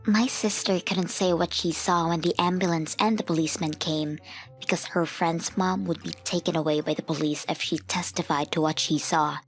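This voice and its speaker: A woman's voice: fairly low pitch, steady tone, moderate pace, soft background music. A woman reading a scripted first-person story as the narrator of a manga dub.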